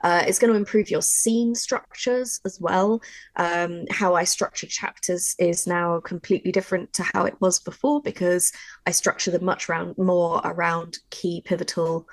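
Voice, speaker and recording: A woman's voice: on the low side, fairly even intonation, normal speed, no room sound, low-quality recording. A woman writer chatting informally and encouragingly in a conversational workshop discussion about her craft. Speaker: audio drama writer giving a workshop.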